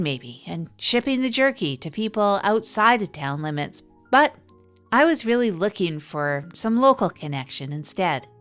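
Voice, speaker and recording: Earnest small-town radio host played by a woman, medium pitch, thoughtful halting delivery, music underneath, audio drama. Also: close to an everyday voice. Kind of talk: improvised monologue in comedy audio drama.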